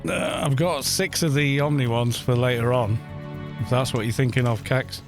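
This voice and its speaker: A man's voice: medium pitch, fairly even intonation, moderate pace. Man chatting over music on a stream. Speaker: live streamer playing an online game. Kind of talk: casual gaming chat with viewers. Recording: music behind the voice. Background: background music.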